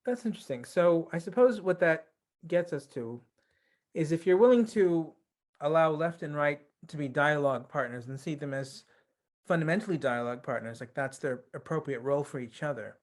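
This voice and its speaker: A man's voice: on the high side, normal speed. A man interviewing as a podcast host, thoughtfully building up long questions in a conversational way.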